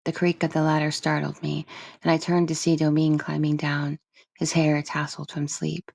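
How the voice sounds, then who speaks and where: A woman reading literary first-person narration for an audiobook in a measured, expressive storytelling manner. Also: sits low, vocal fry, no echo. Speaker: audiobook reader.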